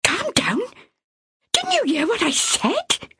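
A frantic young mother pleads in a high, strained voice, played by a woman reading an audiobook. Her words tumble out breathlessly. The sound is dry and close. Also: big pitch swings, normal speed, a bit bright, dry recording. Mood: panicked.

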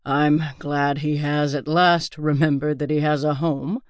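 A woman voicing a middle-aged father in an audiobook, speaking drily with gentle mockery. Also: low-pitched, flat delivery, a little slow, no echo, clean studio sound, pitched below the performer's own voice. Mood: dry, teasing. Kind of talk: audiobook character reading.

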